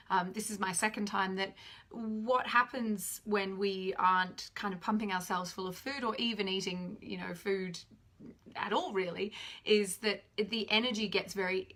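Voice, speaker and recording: A woman in her thirties with a slightly croaky voice, chatting animatedly on a live stream from home to her phone camera. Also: mid-range voice. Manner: animated, gestures a lot while talking; chatty and self-deprecating. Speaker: spiritual teacher hosting a live stream. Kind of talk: casual live-stream talk. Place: home room indoors. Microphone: phone camera at arm's length.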